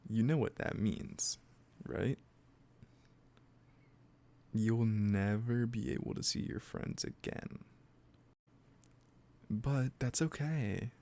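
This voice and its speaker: Casting: male actor as lovesick, possessive kidnapper for roleplay audio. Gravelly low voice, gentle and coaxing. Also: exaggerated voice. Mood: possessive, smitten. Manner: slow, hesitant, gently coaxing. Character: obsessive lovesick kidnapper, soft-spoken and possessively sweet. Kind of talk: roleplay audio drama, addressing the listener directly.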